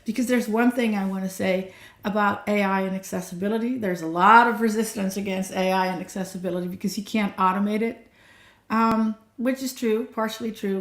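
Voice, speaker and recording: A woman's voice: mid-range voice, reverberant. A woman, an accessibility coach, chatting energetically as a livestream guest over a video call. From Netherlands. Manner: chatty, energetic, self-described nonstop talker.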